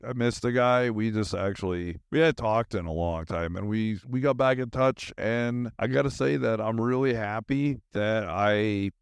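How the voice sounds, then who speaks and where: A middle-aged man with a low-pitched voice hosts a podcast in a rambling, personal style. His talk is informal and slangy, meandering from thought to thought. The sound is dry, with very little room to it. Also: normal speed, gravelly, few pauses, smooth, no room sound. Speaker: podcast host. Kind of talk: rambling casual personal monologue.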